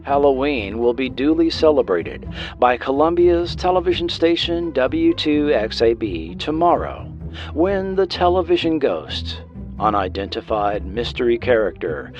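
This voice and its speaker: A man reading scripted documentary narration in a measured, dramatic storytelling manner. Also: mid-range voice, a little mellow, muffled recording. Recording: music bed under the voice. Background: background music.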